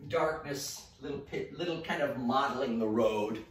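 A middle-aged man giving a casual painting demonstration, talking haltingly and thinking aloud as he works. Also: medium pitch. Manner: relaxed, halting, thinking aloud while working. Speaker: mural painter presenting a tutorial. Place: indoor room, painting at a wall. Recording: echoey room, distant mic, faint noise.

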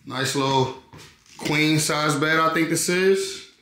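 Young man, casual slangy tour host, slightly high voice, echoey rooms with steady noise. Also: takes it slowly. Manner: laid-back, repeats phrases, keeps asking "you know what I'm saying". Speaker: vlogger giving an apartment tour. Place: rental apartment, indoors then rooftop. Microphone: handheld camera held close.

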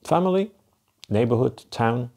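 A man's voice: mid-range voice, animated, measured pace, creaky, a little mellow. A Flemish man in his fifties, answering viewer questions in a casual, rambling way on a lapel mic in a cosy living room. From Belgium (Flanders). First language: Dutch. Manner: chatty, filler-heavy, repeats "to be quite honest". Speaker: van life vlogger. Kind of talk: casual Q&A to camera.

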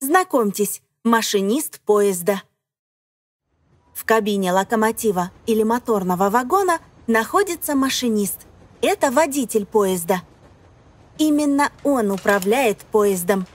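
A woman reading scripted educational narration for young children, phrasing deliberately and putting questions to the listener. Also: fairly high pitch, animated. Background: music.

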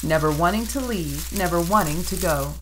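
A woman narrating a children's picture book in an expressive, rhythmic read-aloud style. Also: on the low side, normal speed, a crowd in the background, low-quality recording. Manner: rhythmic rhyming delivery, expressive. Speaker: storybook narrator.

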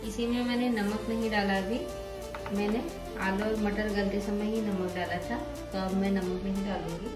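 Woman, cooking host, step-by-step recipe narration, echoey room, clear music underneath. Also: medium pitch, moderate pace. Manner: plain instructive, steady explaining each step.

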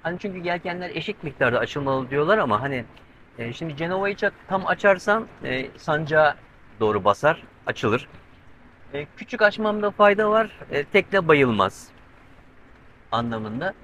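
A man's voice: on the high side, animated, normal speed, pushed and bright, a little hiss or hum, rough recording. A middle-aged man vlogging alone on his sailboat at sea, chatting to the camera and explaining step by step, tense as he watches the weather. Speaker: solo sailing vlogger. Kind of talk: casual vlog talk to camera. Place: covered cockpit of a sailboat at sea, outdoors. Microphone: handheld camera with mic on a pole.

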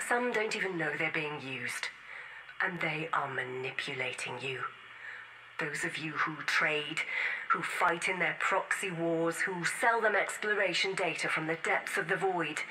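A defiant rebel woman records her grave last testament for a science-fiction game audio log. Her voice is fairly low and tense with a bright, forceful edge, solemn and deliberate in delivery. Noticeable noise sits behind her words. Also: poor audio.

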